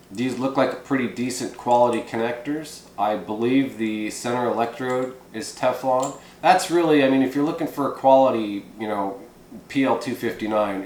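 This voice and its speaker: A man giving an informal, unscripted hands-on tutorial, explaining things in a rambling, hesitant way with frequent pauses. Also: mid-range voice, darkish tone. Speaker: presenter of a CB radio how-to video. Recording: echoey room with background noise.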